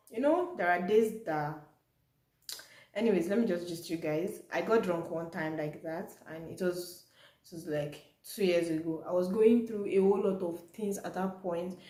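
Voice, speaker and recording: A woman's voice: fairly low pitch, unhurried, warm tone. A young Nigerian woman, a native Yoruba speaker, vlogging in a casual, chatty storytelling way to her viewers. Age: young adult. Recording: echoey room.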